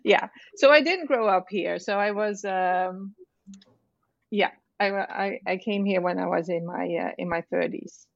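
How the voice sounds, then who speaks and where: A woman in her fifties with a Dutch accent, a children's book author being interviewed, talking in a halting way with many fillers and restarts. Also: medium pitch, gravelly, no room sound, lo-fi sound. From Netherlands. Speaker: author guest. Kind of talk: casual interview chat.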